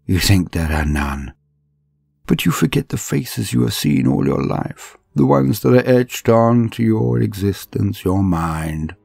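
A man voicing a sadistic male alien torturer in a slow, menacing first-person monologue, his voice gravelly, husky and often sinking to a whisper. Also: deep voice, a little mellow, no room sound, full character voice. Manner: slow, menacing, savoring each threat.

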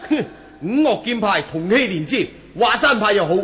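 A man acting in a Cantonese radio drama from Hong Kong, voicing a defiant, gallant young swordsman with urgent shouts. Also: high voice, animated, normal speed, reverberant. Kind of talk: 1980s martial-arts radio drama acting.

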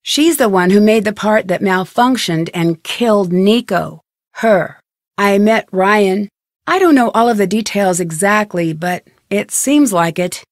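A woman's voice: medium pitch, expressive, measured pace, no room sound, studio quality, natural voice. A woman playing an inquisitive, level-headed young detective in an adventure game, speaking in an earnest, conversational, matter-of-fact way. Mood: curious, thoughtful.

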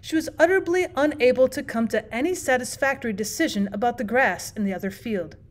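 A woman with a slightly high voice narrates an audiobook. She reads from a script as a teacher would when reading aloud to a class. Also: a little fast.

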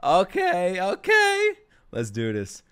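Excitable young American man, very animated. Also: high-pitched, slow pace, smooth. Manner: excitable, slangy, crude, exclamatory. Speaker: streamer and reaction host. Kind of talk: live reaction commentary, casual chat. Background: music.

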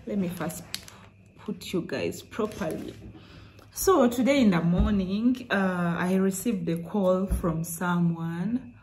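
Young woman vlogging from her room, lowish mellow voice, chatty storytime. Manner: chatty, retells calls with quoted voices. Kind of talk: casual storytime vlog. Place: small room indoors, seated. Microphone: phone camera at arm's length.

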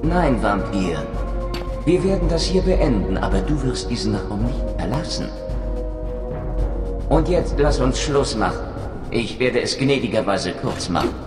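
An actor playing an arrogant, sneering warrior villain in a game, mocking and theatrical. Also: male voice, mid-range voice, big pitch swings, measured pace. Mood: haughty menace. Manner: mocking, haughty. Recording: echoey distant hall, music behind.

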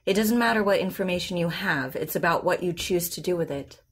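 A composed woman therapist in an audio drama. Her voice sits low and is a little husky. She questions carefully and patiently, with professional, measured phrasing. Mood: calm, firm. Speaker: actor playing a therapist. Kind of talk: naturalistic audio drama dialogue.

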